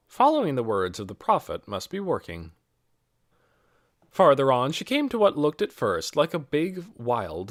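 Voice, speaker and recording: Guy reading a kids novel audiobook, really animated pitch, dry close sound. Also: no room sound. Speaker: audiobook narrator. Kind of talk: scripted narration of a children's novel.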